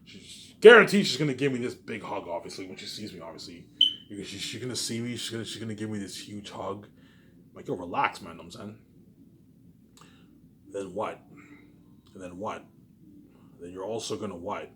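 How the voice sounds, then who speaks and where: A man vlogging in a casual, rambling monologue, boastful and repetitive, swinging between excited bursts and muttered asides. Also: fairly low pitch, fairly quick, pauses often, a little hiss or hum. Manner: repetitive, with emphatic catchphrases; boastful, self-assured.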